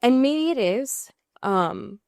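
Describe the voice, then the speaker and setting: A woman's voice: a little high, lively intonation, slow, creaky, a little mellow, smooth, dry recording, clean studio sound. An American woman co-hosting a casual recap podcast, emphatic and sweary, often rambling and correcting herself as she goes. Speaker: podcast co-host. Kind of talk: casual TV and film recap chat.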